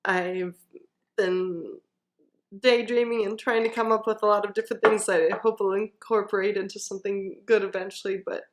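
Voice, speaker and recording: A woman's voice: medium pitch, steady tone, normal speed. A woman, a guest musician, talking casually in an interview. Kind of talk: casual chat.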